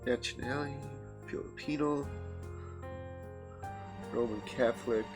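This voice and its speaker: A man's voice: medium pitch, fairly even intonation, a little slow. A man hosting a playthrough, reading story text aloud and commenting casually, with occasional stumbles. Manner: informal, stumbles while reading. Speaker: let's play host. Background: game music, rain.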